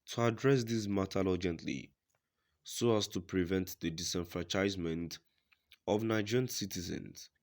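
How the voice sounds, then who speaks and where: A man reading scripted news reports in a formal, deliberate manner as a news reader. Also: sits low, a little mellow.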